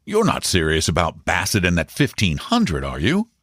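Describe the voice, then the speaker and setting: A man's voice: sits low, wildly swooping pitch, normal speed, a bit bright, no room sound, studio quality, heavily acted. A man voicing an amused, indulgent, jovial uncle in a storybook. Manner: jovial, teasing. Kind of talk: storybook character dialogue.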